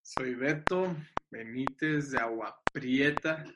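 A man, chatting as a guest on a video call, enthusiastic and quick to laugh, often repeating his words. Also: mid-range voice. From Mexico. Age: 20s. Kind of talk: casual video-call chat. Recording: loud background hiss on a video call.

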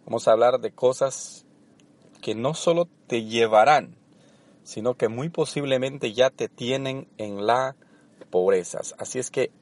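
A man's voice: mid-range voice, unhurried. Salvadoran man hosting a motivational podcast. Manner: earnest, coaching, with many fillers. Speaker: podcast host.

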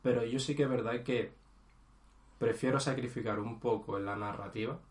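A man's voice: mid-range voice, steady tone, normal speed. Rambling man in an echoey room. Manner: rambling, hesitant, many fillers. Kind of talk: casual gaming chat.

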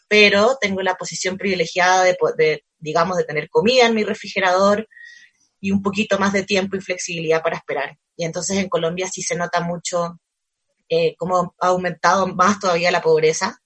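A woman's voice: fairly low pitch, steady tone, brisk, fairly bright tone, slightly rough. A woman who grew up in Chile, talking as a guest in a casual conversation, thoughtful and full of hesitations and fillers.

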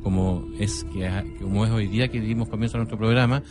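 A man's voice: lowish voice, fairly even intonation, fast. A man co-hosting a radio show, talking in a wordy, somewhat formal way with little tag questions. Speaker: radio co-host. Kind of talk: casual radio commentary. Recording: music under the talk.

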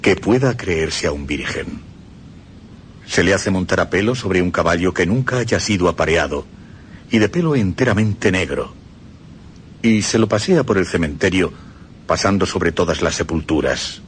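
A man with a Spain Spanish accent reading an old text for radio in a grave, deliberate manner. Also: deep voice, animated, a little hiss or hum.

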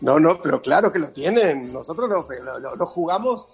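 A man's voice: on the high side, animated, normal speed, husky, soft background music. A man with an Argentine accent, a psychologist guest talking on a radio show in a thoughtful, chatty way. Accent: Argentine Spanish. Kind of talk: radio interview, phoned in.

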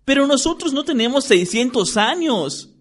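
A man's voice: extremely high pitch, very animated, moderate pace, fairly bright tone, voice pushed up, full character voice. A man reading a story, voicing an emphatic, boastful teenage schoolboy. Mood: grumbling.

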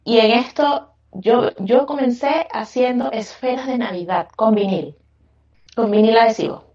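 A woman's voice: a little high, a little slow, a little mellow. A Venezuelan woman answering interview questions conversationally, with an effusive, emphatic way of talking. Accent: Venezuelan Spanish. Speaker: podcast guest, craft business owner. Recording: echoey, distant, muffled microphone.

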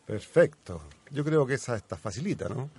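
Chilean radio host, mid-pitched man. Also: expressive, moderate pace, darkish tone, slightly rough. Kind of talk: casual live interview.

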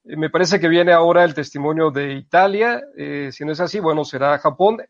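A man, a priest co-hosting a radio program, speaks thoughtfully with frequent hesitant pauses. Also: on the high side, no room sound. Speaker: priest co-host. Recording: dull dry radio studio audio.

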